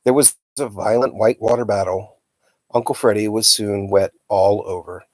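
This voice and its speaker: A man reading a children's novel aloud as a storyteller, with a dramatic, expressive delivery. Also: lowish voice, normal speed, no room sound. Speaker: storytime reader.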